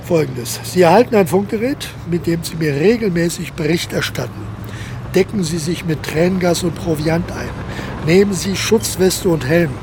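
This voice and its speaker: A cold, commanding colonel, played by a male actor, fairly high-pitched and gravelly, snapping out clipped orders in a radio drama. Clear outdoor noise with vehicles surrounds him. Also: moderate pace, lightly acted. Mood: stern, matter-of-fact. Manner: curt, authoritative, clipped orders. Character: cold, commanding army colonel guarding a quarantine zone. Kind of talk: modern German radio drama acting.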